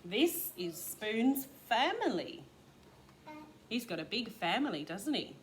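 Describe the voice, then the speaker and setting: A woman reading a storybook aloud as a kindergarten teacher, expressive, with questions and asides to young listeners. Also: a little high, slow pace. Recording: clear background noise.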